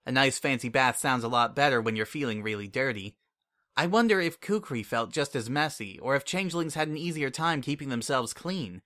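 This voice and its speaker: A man reading a fantasy adventure aloud, telling it in first person at an easy, conversational pace. His voice sits mid-range with a bright, forward tone. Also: mid-range voice, lively intonation, a little fast. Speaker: story reader. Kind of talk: dramatic audiobook narration.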